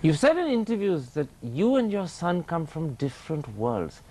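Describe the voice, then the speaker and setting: A man's voice: a little high, sing-song, normal speed, warm tone, slight background noise. A male interviewer asking direct, probing questions on a TV interview show.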